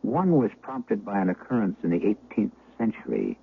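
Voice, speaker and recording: Low, ominous radio storyteller. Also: male voice, wildly swooping pitch, vocal fry, phone-quality sound, exaggerated voice. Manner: ominous, measured. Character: ominous storyteller introducing eerie tales. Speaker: host and storyteller. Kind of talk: dramatic scripted 1970s radio narration. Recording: thin, hissy vintage radio recording.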